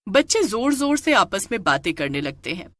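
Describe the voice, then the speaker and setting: A woman's voice: mid-range voice, big pitch swings, moderate pace, a bit bright, no echo. A woman narrating a scripted story in a dramatic storytelling style.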